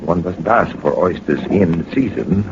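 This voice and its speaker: An actor playing a brisk, commanding gentleman adventurer in a 1940s radio drama, clipped and authoritative. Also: sits low. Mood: brisk, knowing. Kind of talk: 1940s radio drama acting and first-person narration. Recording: muffled, noisy 1940s radio recording.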